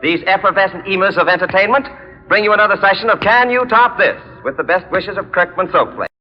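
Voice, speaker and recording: A man's voice: sits high, brisk, soft background music. A man formally introducing programmes in the florid style of 1940s radio announcing. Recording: muffled vintage radio, long reverb.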